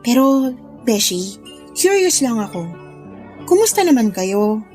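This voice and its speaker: A woman's voice: a little high, sing-song, takes it slowly, darkish tone, soft background music. A woman with a Filipino accent playing a supportive, curious young best friend in a radio drama, teasing and reassuring.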